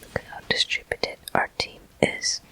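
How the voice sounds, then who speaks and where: Whispered voice, very bright and airy, slow and deliberate, soft, frequent pauses, ASMR gameplay commentary. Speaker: host of gameplay walkthrough.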